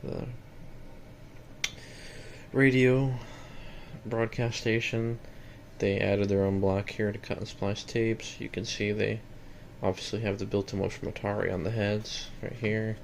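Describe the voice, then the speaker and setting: A man's voice: fairly low pitch, steady tone, unhurried, vocal fry. A man giving a casual, matter-of-fact walkthrough of equipment he is selling, plain-spoken, in a noisy home-video recording. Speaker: seller demonstrating equipment.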